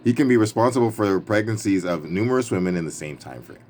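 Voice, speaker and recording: Very deep-voiced man reading aloud playfully. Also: expressive, quick pace, a little mellow. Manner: emphatic, playful, breaks off for wry asides. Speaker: reader and commentator. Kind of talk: book reading with casual ad-lib asides. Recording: clearly noisy background ambience.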